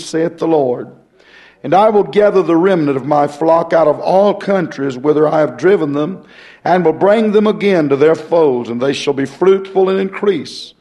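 Hoarse pastor guy, kinda high voice, folksy rambly preaching. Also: warm tone. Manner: folksy, rambling, reads scripture in a rising preaching cadence. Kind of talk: sermon with scripture reading.

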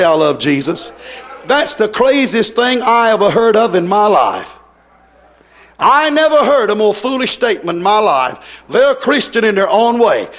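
Hoarse, hollering preacher man. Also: sits high. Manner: hollering, swings from loud to soft. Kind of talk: fiery revival sermon.

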